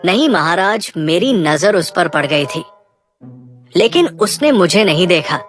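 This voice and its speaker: A woman's voice: on the low side, expressive, measured pace, soft background music. A woman voicing a respectful, obliging male boar who serves as minister to a jungle king in a cartoon. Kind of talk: Hindi cartoon moral story acting.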